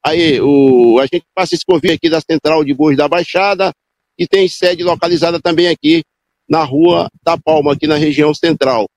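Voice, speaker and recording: Brief: male field reporter, slightly high voice, dry close sound, improvised live delivery. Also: fairly even intonation, normal speed, darkish tone, no echo. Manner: loose, improvised delivery with restarts and self-corrections.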